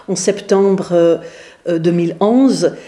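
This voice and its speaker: Middle-aged English-accented woman pastor, hesitant talk, lowish voice, roomy echo. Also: slow, warm tone. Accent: English, with some Swiss. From England. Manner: hesitant, many fillers and restarts. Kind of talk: informal lecture to a group.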